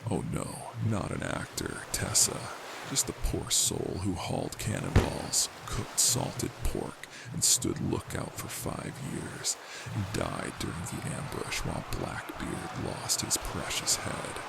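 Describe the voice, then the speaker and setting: Brief: sarcastic, aggrieved ghost of a pirate's apprentice, played by a man, low and very gravelly, lively swoops, audio drama. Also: slow pace, very noisy, exaggerated voice. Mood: mocking.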